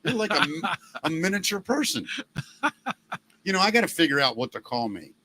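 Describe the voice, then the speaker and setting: A middle-aged former radio man with a loud, somewhat high voice and a gravelly smoker's edge. His tone is bright and forceful, and he tells animated stories, slipping into the voices of the people he quotes. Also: brisk. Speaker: podcast host, former radio DJ. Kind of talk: casual chat.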